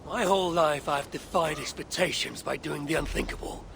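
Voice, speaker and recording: An actor playing a proud, stubborn old Norse god-king in a video game, gravelly and theatrical in his grand declaiming. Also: a little high, normal speed, very noisy. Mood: weary, stubborn. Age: older. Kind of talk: dramatic game cutscene acting.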